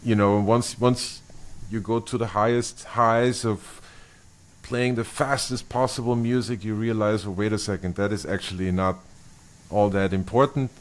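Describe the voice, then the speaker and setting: A middle-aged man from Austria, a bassist being interviewed, speaking thoughtfully with many restarts and fillers. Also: steady tone, normal speed. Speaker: bassist guest. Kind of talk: interview conversation.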